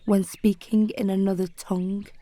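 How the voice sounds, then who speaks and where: A woman poet giving a slow, measured, solemn spoken-word poetry reading. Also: medium pitch, level tone, mellow, no room sound.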